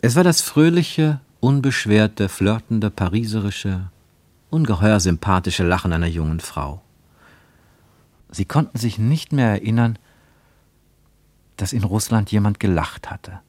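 A man reading a scripted literary narration for a radio play in a measured, deliberate way. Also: on the low side, darkish tone, no echo.

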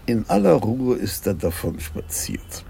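An actor playing a dry, reflective senior police commissioner in a radio crime drama, in a leisurely, ruminative way. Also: male voice, mid-range voice, big pitch swings, unhurried, vocal fry, warm tone, a little hiss or hum. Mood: amused, reflective. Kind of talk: 1980s German radio crime drama acting.

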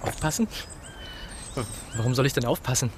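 A man's voice: mid-range voice, moderate pace, slight background noise, natural voice. A man acting in a radio drama as a courteous, reserved young writer who speaks a little hesitantly. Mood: mild, curious. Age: young adult. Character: polite, reserved young English writer, slightly embarrassed.

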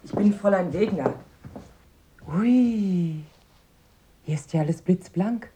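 An actress playing a friendly, eager social worker who coaxes a child in a radio drama. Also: female voice, lowish voice, slow pace, warm tone, a little hiss or hum. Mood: eagerly friendly. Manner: coaxing, talking down to a child. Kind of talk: 1970s German radio play acting.

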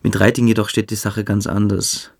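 A man playing a calculating, cruel young military-school cadet in a German radio drama, speaking with deliberate, coolly superior menace. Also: lowish voice, completely flat delivery, gravelly, darkish tone. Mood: cold, calculating.

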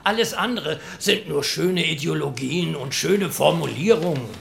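An actor playing a dry, pragmatic debater who reasons in a pointed, didactic way. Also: male voice, on the high side, normal speed, gravelly, a bit bright, a little hiss or hum. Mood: pointed, skeptical. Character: dry, pragmatic debater explaining electoral arithmetic. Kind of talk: dramatized debate.

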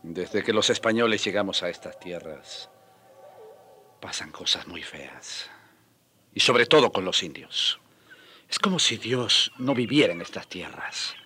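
An actor playing a weary but passionate middle-aged friar in a radio drama, speaking earnestly and declaiming. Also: male voice, deep voice, expressive, measured pace, pushed and bright, a little hiss or hum, exaggerated voice. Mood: weary, dejected.

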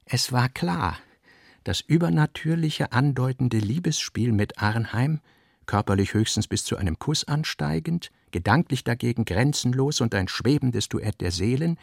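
A man reading scripted literary narration with measured, deliberate phrasing. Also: medium pitch, lively intonation, creaky, dry recording, clean studio sound.

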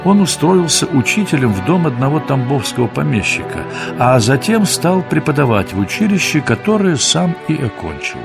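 A man's voice: fairly high pitch, sing-song, normal speed, vocal fry, few pauses. A man narrating a radio play in a scripted storytelling style. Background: music under the voice.

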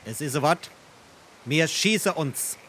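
A man's voice: a little high, lively intonation, measured pace, sharp tone, slight background noise. An actor playing a naive, hot-headed young journeyman in love, earnest and blustery, in Darmstadt Hessian dialect comedy. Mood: hot-headed defiance. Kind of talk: dialect stage comedy acting.

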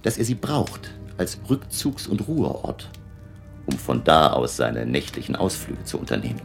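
An actor playing a grave, learned vampire hunter who lectures his allies deliberately and emphatically in a German radio drama. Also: a bass voice, huge pitch swings, vocal fry, music in the background, full character voice. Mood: grave, resolute.

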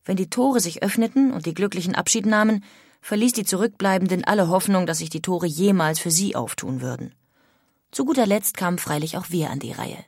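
An actress voicing a female emigrant who soberly recounts her memories in a measured, reflective reading for a radio documentary. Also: fairly low pitch, expressive, a little mellow, no echo, clean studio sound. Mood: wistful. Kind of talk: dramatised reading of historical memoir for radio.

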